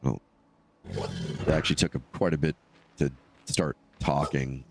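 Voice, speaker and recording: A man with a stuffed-up, nasal voice giving casual, low-key, rambling solo commentary as he live streams a game. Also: expressive, a little slow, vocal fry, noisy background. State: congested from allergies.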